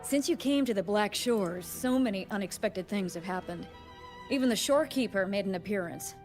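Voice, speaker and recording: A woman's voice: mid-range voice, expressive, moderate pace, a little mellow, lightly acted. Confident anime-game woman, businesslike as acted by a woman. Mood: businesslike, explanatory. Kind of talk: scripted video game dialogue. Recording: faint game music behind voice. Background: faint game music.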